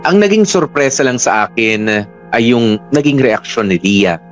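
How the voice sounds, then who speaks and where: A man's voice: medium pitch, expressive, moderate pace. A man narrating a love story in the first person, in radio drama style. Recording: music bed under voice.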